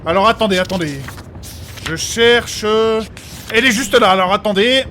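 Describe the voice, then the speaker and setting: A man's voice: high voice, normal speed, pushed and bright, a little hiss or hum. A man playing a learned, formal and fussy scholar of magic in a comic fantasy audio drama, precise and prone to repeating himself. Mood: searching, hesitant. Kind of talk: French fantasy comedy audio fiction acting.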